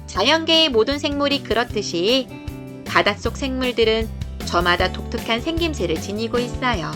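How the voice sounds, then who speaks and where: A woman narrating a scripted children's nature book in a careful, explanatory read-aloud way. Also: a little high. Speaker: storybook narrator.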